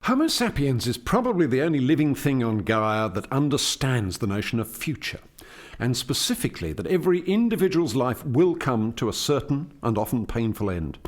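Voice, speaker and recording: Radio play alien scientist, male actor: earnest, formal explainer, mid-pitched with very varied intonation. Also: big pitch swings, normal speed. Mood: explaining. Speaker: lead scientist.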